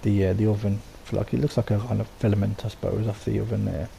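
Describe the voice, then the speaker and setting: A man with a sore throat and a croaky voice, casually talking through how he built something, rambling with lots of ums and restarts. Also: fairly low pitch, little pitch movement, fast talker, dark tone, a little hiss or hum. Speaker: game settlement builder. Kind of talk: casual voice-over walkthrough.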